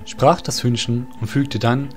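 A male narrator reads a scripted fantasy tale. His voice is of average pitch, and soft music runs behind it. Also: flat delivery, unhurried.

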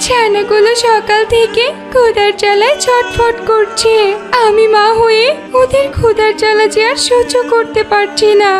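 Mother duck, played by a woman: piping high, anxious, determined, pleading, children's cartoon with loud music bed. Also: flat delivery, normal speed. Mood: distressed. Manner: pleading, insistent.

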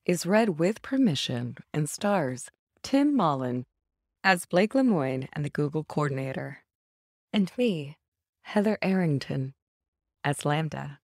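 A woman presenting in scripted narration. Her voice is low and mellow, and she reads as a presenter introducing a piece. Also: lively intonation, measured pace, dry recording, studio quality.

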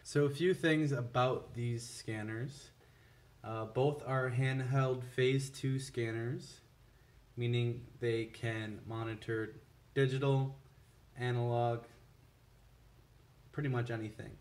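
Slow-talking man demonstrating products. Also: medium pitch, steady tone, a little mellow. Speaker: product reviewer for a scanner seller. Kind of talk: casual product comparison demonstration.

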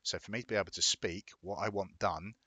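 Deep-voiced English man, bright and forceful. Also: steady tone, fairly quick, slightly rough, no room sound. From England. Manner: chatty, informal, repeats words for emphasis. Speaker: tutorial host. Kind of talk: casual explanatory software tutorial.

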